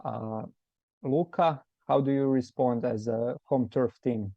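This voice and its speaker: A man moderating a panel debate, speaking in short, halting phrases. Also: medium pitch, steady tone, creaky, dry recording, dull audio.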